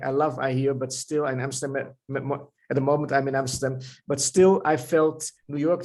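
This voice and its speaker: A man's voice: fairly high pitch, darkish tone. A man in his fifties with a Dutch accent, a novelist answering interview questions in a thoughtful way, often restarting and repeating words. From Netherlands. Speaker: novelist guest.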